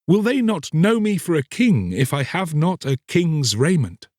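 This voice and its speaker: A man's voice: fairly high pitch, big pitch swings, unhurried, darkish tone, no room sound, studio quality, pitched above the performer's own voice. A man playing an earnest, solemn young king in a storybook tale, speaking resolutely in formal, archaic phrases. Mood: questioning.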